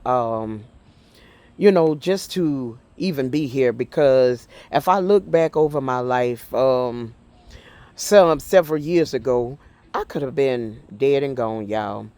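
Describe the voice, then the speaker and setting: A middle-aged woman vlogging in an earnest, heartfelt way, with halting speech full of fillers and restarts. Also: extremely low pitch, a little mellow, dry recording. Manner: heartfelt and faith-driven. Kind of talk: casual personal talk to camera.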